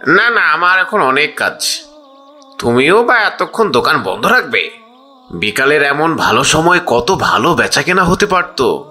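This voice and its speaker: A man's voice: a little high, big pitch swings, a little slow, sharp tone, heavily acted. A man playing a greedy, scornful cartoon shopkeeper who is scheming and self-important. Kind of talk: cartoon story character dialogue.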